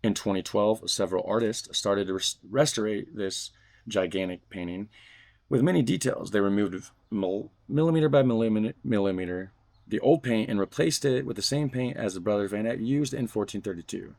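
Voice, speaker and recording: A man's voice: on the low side, normal speed, gravelly, darkish tone. A man vlogging at home, reading a fan letter aloud and joking casually between passages. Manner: reads stiffly, then jokes loosely between passages. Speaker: vlogger unboxing fan mail.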